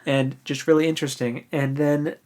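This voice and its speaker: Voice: mid-range voice, little pitch movement, moderate pace, a little mellow. Casual co-host chatting about a book. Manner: informal, recapping in loose slang. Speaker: podcast co-host.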